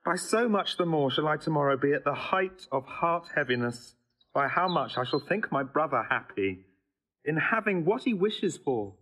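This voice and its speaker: A man's voice: a little high, animated, normal speed, fairly bright tone, low-quality recording. An actor playing an earnest, lovesick young nobleman in classical stage verse. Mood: yearning. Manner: earnest, yearning.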